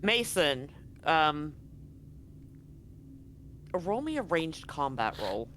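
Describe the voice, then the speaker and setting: A man's voice: on the high side, big pitch swings, very slow, pushed and bright. A man running a tabletop roleplaying game, narrating off the cuff as game master. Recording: live stream with background noise.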